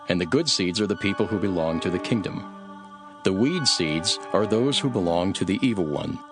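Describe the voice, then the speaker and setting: Casting: male actor for calm, authoritative scripture teacher, lowish voice with lively intonation, solemn storytelling, music underneath. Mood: solemn warning. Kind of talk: dramatized scripture reading.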